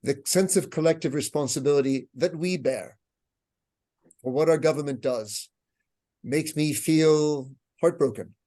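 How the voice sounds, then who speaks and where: A man with an American accent, hosting an awards ceremony with a measured, earnest, grave speech. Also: fairly high pitch, mellow. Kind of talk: solemn formal speech. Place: online video event.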